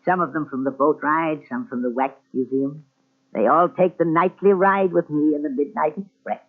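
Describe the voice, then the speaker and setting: A man's voice: fairly high pitch, normal speed. An actor playing a deranged, vengeful old subway motorman in a radio thriller, rambling and eerie. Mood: brooding, gloating. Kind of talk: 1940s radio thriller acting. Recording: tinny, band-limited old radio recording.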